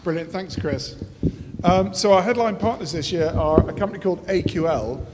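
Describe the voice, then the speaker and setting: A man's voice: sits high, moderate pace, very noisy. A man with a Yorkshire accent hosting an event, giving upbeat introductions from the stage. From Leeds area, England. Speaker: event host. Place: large event hall. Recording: long hall reverb, faint ambience.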